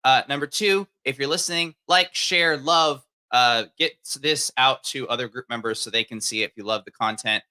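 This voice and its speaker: A man hosting a live online stream. His voice is very bright and forward, and he talks in an upbeat, chatty way with lots of "uh" and "um". He sounds like he is on a video call. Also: mid-range voice, normal speed, few pauses, clear, resonant tone. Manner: upbeat, chatty, many fillers. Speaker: livestream host. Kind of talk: casual livestream hosting.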